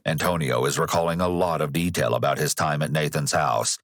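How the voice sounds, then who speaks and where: A man narrating a true crime analysis video in a measured, explanatory way. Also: extremely low pitch, fairly bright tone.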